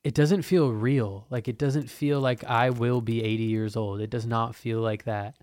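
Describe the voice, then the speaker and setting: A man's voice: medium pitch, fairly even intonation, moderate pace, a little mellow, no echo. A man in his twenties hosting a podcast, talking in a loose, profane, meandering way with lots of restarts. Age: 20s. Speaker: podcast host. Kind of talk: casual rambling monologue.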